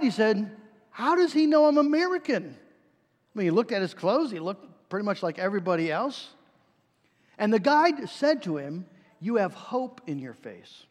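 A man's voice: high-pitched, very animated, moderate pace. A man preaching a sermon in a conversational way, with frequent fillers and restarts. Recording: reverberant hall.

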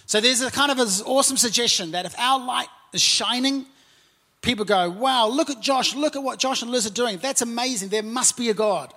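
A man's voice: very high voice, fairly quick, sharp tone. A man in his forties with a New Zealand accent, preaching as a pastor in a conversational way, full of "and, uh" fillers. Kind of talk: sermon. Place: church auditorium.

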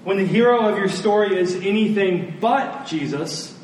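A roomy, slightly noisy recording of a man delivering a sermon. He is a pastor with a high-set voice, earnest and emphatic, repeating his key phrases for weight. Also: normal speed. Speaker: pastor preaching to his church. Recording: roomy, distant mic, faint noise.

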